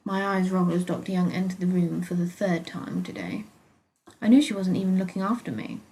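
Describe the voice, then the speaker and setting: A woman with a British accent reading her own story aloud as an expressive first-person narrator. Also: on the low side, a little fast, a little hiss or hum, rough recording.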